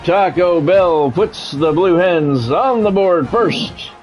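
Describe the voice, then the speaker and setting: Higher-pitched man calling football play-by-play. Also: lively intonation, takes it slowly, lo-fi sound, faint music behind. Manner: practised radio-style play-by-play, clipped phrases. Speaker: streamer doing play-by-play of a football video game. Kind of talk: sports commentary mixed with casual chat.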